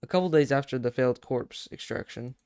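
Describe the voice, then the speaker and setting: A man's voice: medium pitch, a little fast, a little mellow, clean tone. A man reading a horror story aloud in a casual, stumbling way, breaking off to react. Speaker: video creator reacting and narrating.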